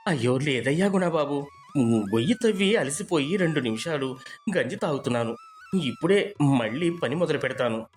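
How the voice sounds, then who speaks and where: A man's voice: fairly high pitch, expressive, normal speed, faint music behind, natural voice. A man playing a poor, loyal village gardener in a story, deferential and weary in manner. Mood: humble, anxious. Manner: deferential, lamenting.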